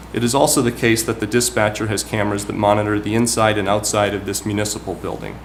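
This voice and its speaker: A man giving prepared, formal remarks as a town councilman at a public meeting, speaking in a measured, deliberate way. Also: on the low side. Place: municipal meeting room. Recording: clearly noisy public meeting recording.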